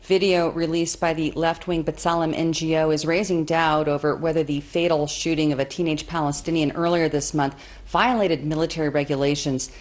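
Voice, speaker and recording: A woman news anchor reading scripted reports in a measured, formal way. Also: low voice.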